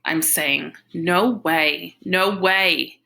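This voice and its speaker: A woman's voice: on the low side, fairly even intonation, moderate pace, gravelly, sharp tone. A woman reading a novel in the first-person voice of a big, troubled, defiant teenage boy, in slangy run-on sentences. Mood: frantic, defiant.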